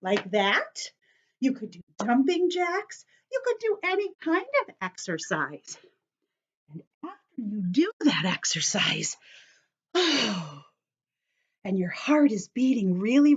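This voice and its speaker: A woman teacher talks young children through a calm-down drawing activity, slow and unhurried, her pitch swinging up and down as she encourages them. The sound is dull and lo-fi, like a home video recording. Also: husky. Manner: gentle, encouraging, talks directly to kids.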